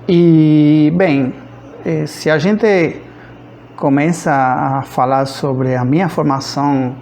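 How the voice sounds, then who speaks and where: Higher-voiced Cuban man, gravelly and hesitant. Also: fairly even intonation, darkish tone, slight background noise. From rural central Cuba. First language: Spanish. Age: around 50. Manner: hesitant, searching for words with frequent pauses. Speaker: physics professor. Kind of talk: informal autobiographical account.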